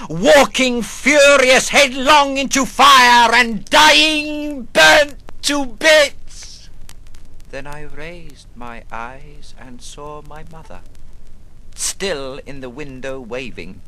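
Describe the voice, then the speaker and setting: An actor reading a literary monologue as a rambling, brooding, eccentric man recalling his past, theatrical and halting, moving between murmur and outburst. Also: fairly high pitch, pushed and bright, a little hiss or hum, full character voice, rough recording. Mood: furious, fatalistic, then wistful, hushed. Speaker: reader of a literary prose monologue.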